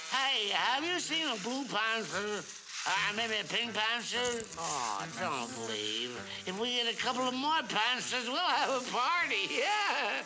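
A man's voice: extremely high pitch, very animated, unhurried, over a noisy crowd, exaggerated voice, poor audio. An actor playing a friendly, tipsy middle-aged husband in a comic cartoon, slurring and stumbling over his words. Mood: jolly, chummy. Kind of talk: 1960s comic cartoon acting. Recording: old cartoon soundtrack with music behind.

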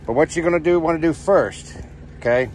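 A man's voice: fairly high pitch, brisk, a little mellow. A middle-aged American man giving a casual hands-on tutorial as a trucker. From United States. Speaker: trucker and channel host. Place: inside a semi truck cab. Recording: noisy truck cab with engine hum.

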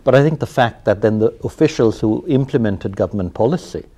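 A man hosting a TV studio interview, asking long questions in an animated way with some hesitant fillers. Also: fairly low pitch, fairly quick. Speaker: interview host. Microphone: lapel.

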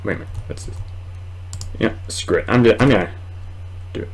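A young man giving casual, excitable commentary while playing a horror game, muttering and exclaiming to himself. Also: on the low side, fairly quick, slightly rough. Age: young adult. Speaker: gamer recording a let's play. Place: small indoor room at home.

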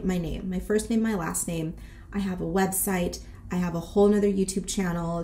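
A woman in her thirties chatting casually in a sassy vlog style, congested and a bit short of breath from a cold. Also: mid-range voice. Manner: sassy, animated, peppered with slang and swearing. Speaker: boutique owner and vlogger. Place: small decorated room indoors.

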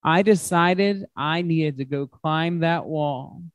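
Slow-paced man reading to children. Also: fairly high pitch, no echo, dull audio. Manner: asks the listening children questions, simple emphatic phrasing. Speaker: guest reader at children's story time. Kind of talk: expressive picture-book read-aloud to children. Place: large glass-walled library room.

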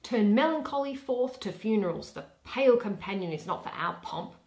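A woman voicing a stately, commanding duke in Shakespearean verse, with a measured, declamatory delivery. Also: medium pitch, lively intonation, darkish tone, echoey room, natural voice. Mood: commanding, grand. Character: stately duke, eager bridegroom and stern judge.

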